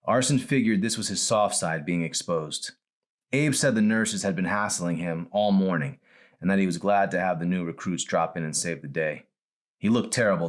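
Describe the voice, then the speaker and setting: A man who is an author, reading his own novel aloud in an expressive storytelling style. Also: deep voice. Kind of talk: expressive audiobook-style narration.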